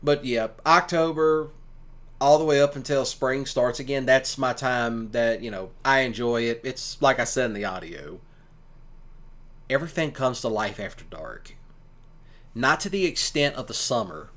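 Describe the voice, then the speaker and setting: A man's voice: mid-range voice, moderate pace, fairly bright tone. A man giving casual, rambling commentary in a folksy, colloquial way, like a streamer talking to listeners. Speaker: streamer and ASMR audio creator.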